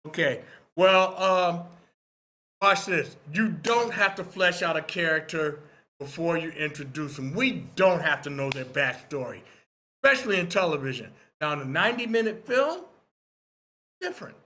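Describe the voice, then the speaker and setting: A man's voice: a little high, expressive, moderate pace, bright, forceful tone. A man giving opinionated feedback in a workshop discussion, emphatic and instructive, repeating his points to drive them home. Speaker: participant in a screenwriting critique.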